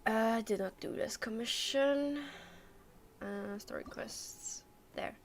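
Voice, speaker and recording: A woman's voice: on the high side, takes it slowly, a little hiss or hum. A young adult woman chatting casually on a game livestream, hesitant and rambling as she thinks aloud. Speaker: game streamer.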